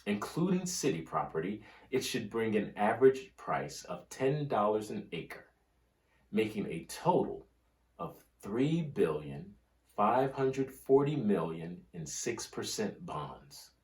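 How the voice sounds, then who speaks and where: A man giving a scripted dramatic reading of history and old oratory in a solemn, measured, oratorical manner with deliberate pauses. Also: fairly low pitch, very animated, darkish tone. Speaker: reader of a history book. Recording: roomy, echoing room sound.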